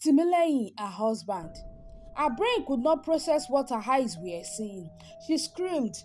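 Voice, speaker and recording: A woman narrating a scripted dramatic story in measured short phrases, pausing for effect. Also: sits high, big pitch swings, soft background music.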